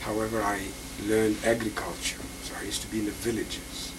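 A man's voice: lowish voice, flat delivery, fairly quick. A man, patiently explaining instruments as he handles them and answering interview questions about his life. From Egypt. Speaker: musician and lecturer. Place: indoor room full of instruments, then an office with bookshelves. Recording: distant, hissy old videotape.

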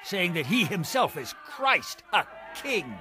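Casting: a man putting on angry priests yelling charges, high and swooping. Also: sing-song, slow pace, music in the background, full character voice. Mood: accusing. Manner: shouted from a distance, high and strained. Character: angry accusing priests, grown men, fierce.

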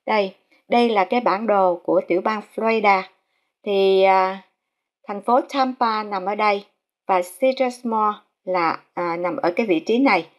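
A Vietnamese woman vlogging casually, speaking politely to viewers with many fillers as she tours a house listing and shows a map. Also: medium pitch. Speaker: real estate vlogger. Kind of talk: casual narrated house tour.

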